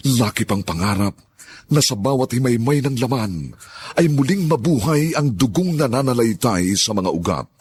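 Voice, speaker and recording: A man's voice: mid-range voice, expressive, moderate pace, a little mellow. A man giving the dramatic opening narration for a radio drama, lofty and sweeping. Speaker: radio drama program announcer.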